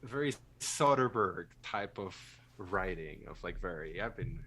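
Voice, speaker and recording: A man's voice: sits low, lively intonation, measured pace, bright, forceful tone, husky. A man hosting a film podcast in casual conversation, joking and self-deprecating as he rambles through his thoughts. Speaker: podcast host.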